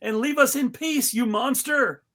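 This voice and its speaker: An earnest, embattled storybook mouse acted out by a reader, pitched up high and bright. It makes urgent, demanding pleas in a comic fan-fiction tale. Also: a little slow.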